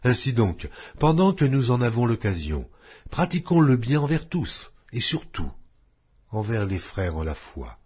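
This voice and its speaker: Slow solemn male Bible reader. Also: mid-range voice, big pitch swings, breathy edge, lo-fi sound. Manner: slow, solemn, measured delivery. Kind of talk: scripted scripture reading.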